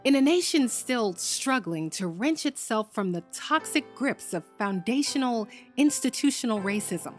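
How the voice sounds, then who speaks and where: A woman reading scripted documentary narration in an expressive, deliberate, dramatic way. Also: a little high, soft background music. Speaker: narrator, performer.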